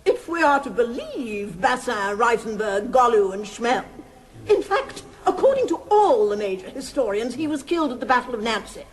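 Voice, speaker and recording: An excitable lady professor in a radio comedy. Her high voice swoops widely as she declaims with dramatic relish and a fluttery edge. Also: moderate pace, low-quality recording. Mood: eager. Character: excitable, theatrical female history professor.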